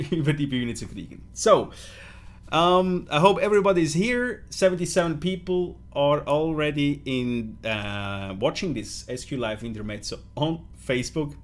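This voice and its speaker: A man's voice: a little high, lively intonation, measured pace. Chatty Swiss German man hosting a livestream. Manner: loose and chatty, with frequent fillers and self-corrections. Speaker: host of a product live stream. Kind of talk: casual live-stream chat, switching English and German.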